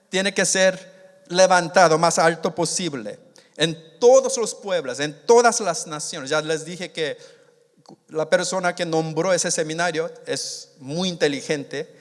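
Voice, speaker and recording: A man born in Korea and raised in the United States, preaching a sermon in a halting way, with many restarts, and stretching out the key words. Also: on the high side. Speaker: missionary preacher. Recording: long hall reverb.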